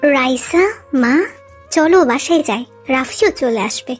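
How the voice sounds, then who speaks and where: A woman's voice: high voice, expressive, slow pace, full character voice. A woman playing a concerned, nosy middle-aged aunt in a dramatised love story. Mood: coaxing. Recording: music behind the voice.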